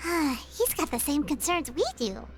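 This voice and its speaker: A woman voicing a small, excitable, cheeky girlish fairy companion in a video game. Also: high voice, a little slow, faint music behind. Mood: indignant, uneasy. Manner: refers to self in third person, exclamatory. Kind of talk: video game character acting.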